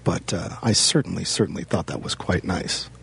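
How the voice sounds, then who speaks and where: A man in a deadpan comedy chat, playing a pompous professor who rambles with mock authority. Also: low-pitched, normal speed, gravelly, husky.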